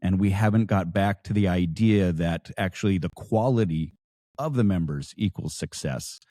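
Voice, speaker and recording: A man's voice: low-pitched, moderate pace, dark tone, no echo, clean studio sound. An American man in his late forties chatting casually as a podcast guest, explaining through anecdotes. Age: late 40s. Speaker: podcast guest, lodge educator.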